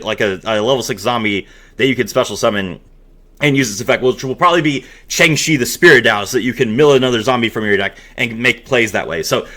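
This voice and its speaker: A man hosting a dramatic reading mixed with excited reaction commentary, expressive and given to amazed exclamations. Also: a little high. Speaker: host.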